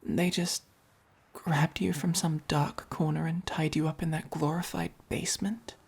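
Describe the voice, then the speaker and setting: A woman with an English accent voicing a haughty, possessive but caring vampire in a soft-spoken ASMR roleplay, with a breathy, commanding delivery. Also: deep voice, steady tone, full character voice. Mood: protective, soothing. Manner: slow, deliberate, commanding.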